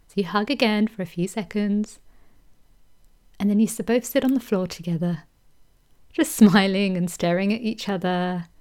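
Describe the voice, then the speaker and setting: Soft-spoken woman guiding a meditation. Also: mid-range voice. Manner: slow soothing delivery with soft whispered phrases. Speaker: meditation guide. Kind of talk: guided meditation.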